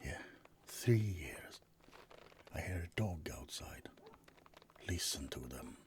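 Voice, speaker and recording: Man playing a low-voiced, murmuring suave vampire. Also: lively intonation, in a whisper. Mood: playful, theatrical. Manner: soft, slow, breathy murmuring with dramatic pauses. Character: suave, teasing male vampire at a bedside. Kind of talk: ASMR roleplay, often whispered.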